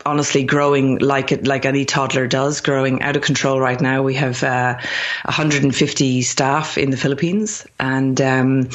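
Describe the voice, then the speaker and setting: A woman's voice: rumbling low, dull audio. An Irish woman in her thirties, a company founder, talking as a guest in a chatty interview and often restarting her sentences. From Ireland. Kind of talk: podcast interview.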